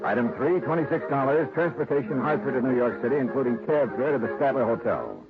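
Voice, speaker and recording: A man's voice: medium pitch, sing-song, fast talker, music in the background. An American man playing a wry, world-weary insurance investigator in a hardboiled 1950s radio drama. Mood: brisk narrating. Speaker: lead actor and narrator of a detective series. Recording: very noisy, narrow-band old radio recording.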